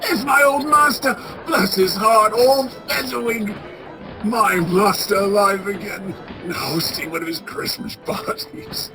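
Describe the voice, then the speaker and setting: A woman playing an anxious, sentimental old male miser in a radio play, stammering and halting with emotion. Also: medium pitch, over music, natural voice, low-quality recording. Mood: joyful, wistful.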